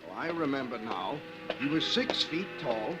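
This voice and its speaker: A man's voice: medium pitch, lively intonation, normal speed, fairly bright tone, dull audio, faint music behind, lightly acted. An American man acting a muddled, eager bank teller who keeps correcting himself in a 1950s radio play. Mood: earnest recalling. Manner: self-correcting, dithering. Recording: noisy old radio recording.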